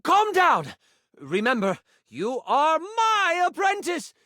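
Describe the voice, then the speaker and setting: A wicked sorceress from a fantasy game, acted out by a man, pitched far up and full of melodrama. The voice is bright and forceful, swinging widely as it shouts and wails like a cornered mentor. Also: wildly swooping pitch, slow pace, no echo, studio quality, heavily acted. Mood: desperate pleading. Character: villainous sorceress, theatrical wicked mentor, enraged and pleading.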